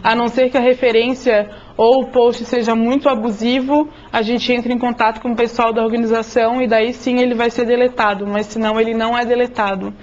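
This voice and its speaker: A woman explaining rules and advice at a seminar, steady, with occasional fillers. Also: fairly high pitch, fairly quick, few pauses. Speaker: seminar panelist. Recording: dull lo-fi sound.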